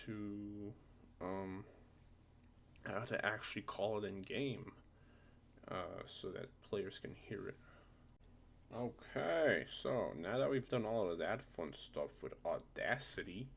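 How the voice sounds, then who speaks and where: A man presenting a software tutorial informally, hesitating and thinking aloud as he goes. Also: lowish voice, creaky. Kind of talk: casual screen-recorded software tutorial. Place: indoors at a computer. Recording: noisy home desktop recording, keyboard clicks. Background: keyboard typing, background noise.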